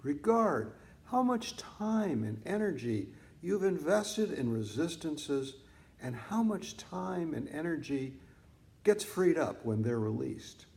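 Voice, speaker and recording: A man narrates a mindfulness audiobook at an unhurried pace, with a soft-edged, mellow tone. His pitch moves expressively across deliberate phrases, and he leaves measured pauses between them. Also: very animated, creaky. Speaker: author reading own book on mindfulness. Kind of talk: scripted audiobook narration, meditation teaching.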